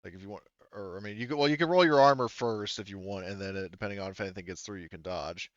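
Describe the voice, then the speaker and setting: A man acting as game master of a tabletop roleplaying game, talking casually and haltingly as he thinks aloud. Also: lowish voice, dry recording, lo-fi sound.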